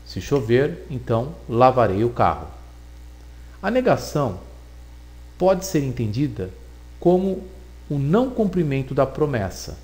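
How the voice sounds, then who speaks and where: A man giving a recorded logic lesson, explaining deliberately and repeating points for emphasis. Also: mid-range voice, big pitch swings, darkish tone. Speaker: teacher.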